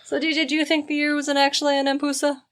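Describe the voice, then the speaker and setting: A woman hosting a podcast in casual, comedic chat, animated and rambling with slangy asides. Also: high-pitched, very fast. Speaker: podcast host.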